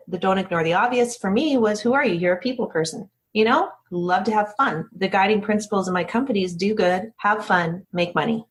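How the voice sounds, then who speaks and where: A woman speaking as an interview guest, candid and informal, emphatic and often interrupting herself as she talks. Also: on the low side. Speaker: interview guest, business founder.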